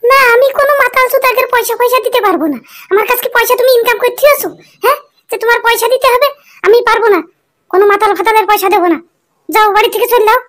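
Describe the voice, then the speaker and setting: A woman voicing a foul-mouthed, put-upon wife in a cartoon comedy, dramatic and shrill. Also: rapid-fire, fairly bright tone. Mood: scornful defiance. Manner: scolding, wailing, dramatic.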